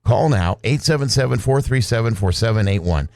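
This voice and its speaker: A man's voice: on the low side, lively intonation, brisk, vocal fry, no echo, studio quality. A man, a pastor, giving a scripted testimonial in a radio commercial.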